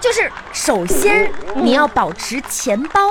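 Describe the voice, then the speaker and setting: A woman playing an excitable, teasing young female best friend in a comedic radio drama, with gushing reactions and cheeky jibes. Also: sits high, unhurried, a loud crowd. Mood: cheeky, upbeat. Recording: music behind the voice.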